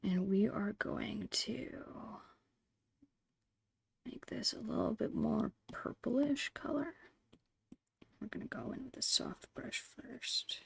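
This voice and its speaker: A woman whispering in a breathy, creaky voice, murmuring her way step by step through an ASMR art narration. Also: fairly high pitch, no room sound. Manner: unhurried, murmured step-by-step musing. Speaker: host of an art ASMR channel.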